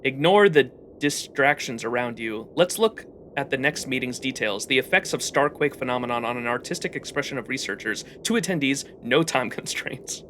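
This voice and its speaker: A man's voice: mid-range voice, expressive, moderate pace, few pauses, a bit bright, slight background noise. A male live streamer reading game text aloud expressively, with his own commentary mixed in.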